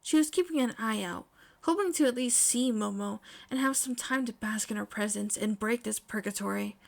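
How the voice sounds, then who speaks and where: A woman narrates a piece of fan fiction in a fairly high voice. Her scripted delivery is expressive, in the manner of an audiobook reader. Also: moderate pace, gravelly. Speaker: podfic narrator.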